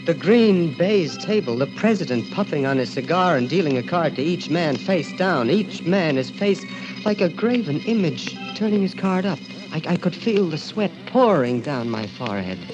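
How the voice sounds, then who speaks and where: A man's voice: on the high side, expressive, normal speed, natural voice. A man acting nervous thriller protagonist, dramatic old radio delivery, dull vintage recording, music behind. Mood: horrified, agitated. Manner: hesitant in dialogue, intense and dramatic in narration. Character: uneasy, desperate male newcomer recounting a macabre tale.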